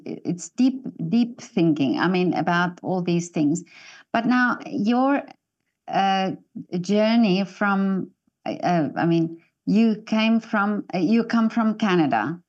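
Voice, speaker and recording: A woman from South Africa hosting an interview, speaking hesitantly with many pauses and restarts. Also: mid-range voice, a little mellow, dull audio. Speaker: interview host. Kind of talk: interview conversation.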